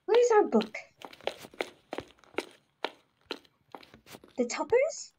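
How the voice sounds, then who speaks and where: A woman's voice: extremely high pitch, big pitch swings, measured pace, highs cut off, noisy background, full character voice. A woman playing an anxious, bungling young society daughter in an amateur reading of a stage comedy, exclamatory and apologetic. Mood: suggesting.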